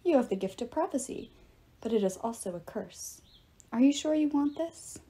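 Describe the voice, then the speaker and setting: A woman plays a cocky young sun god in a storybook. He is breezy and cheerful, with a mellow tone. He speaks like a showman making grand announcements. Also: a little high, animated, normal speed, husky. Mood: grand, formal.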